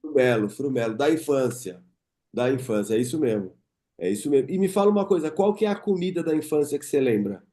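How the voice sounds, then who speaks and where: A man's voice: medium pitch, expressive, fast, dark tone. A man in his thirties from Brazil hosting a podcast, chatting casually and reminiscing with his guest. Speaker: podcast host. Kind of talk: casual interview chat.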